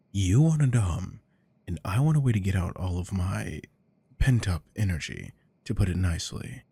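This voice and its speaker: A man acting a weary, possessive, domineering office boss with a deep voice, in a scripted ASMR roleplay. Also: vocal fry, mellow, breathy edge, dry recording, heavily acted. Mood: suggestive, composed.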